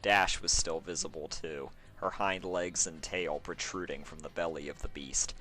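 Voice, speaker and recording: Man, deep voice, story narration, sore throat, fan fiction reader, faint music underneath. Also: steady tone, normal speed, pushed and bright.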